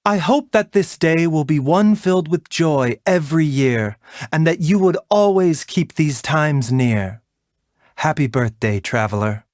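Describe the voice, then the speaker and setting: A man voicing a gentle, refined video game doctor who is polite, soft-spoken, caring and a little wry. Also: fairly high pitch, dry recording, clean studio sound, close to an everyday voice. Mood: warm, reassuring. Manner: measured, soft-spoken, polite, lightly teasing. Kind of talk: video game character voice lines.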